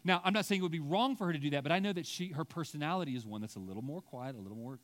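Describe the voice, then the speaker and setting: Chatty middle-aged pastor preaching. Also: male voice, on the high side, animated, racing. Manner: conversational, self-deprecating, frequent "right?" asides; stammers and restarts when digressing. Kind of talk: sermon. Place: church sanctuary.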